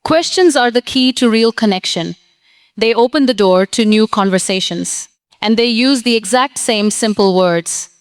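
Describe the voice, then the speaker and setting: Smooth-voiced encouraging woman teacher. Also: mid-range voice, dry recording, clean studio sound. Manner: earnest, encouraging, short declarative sentences. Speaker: English teacher. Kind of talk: scripted motivational lesson.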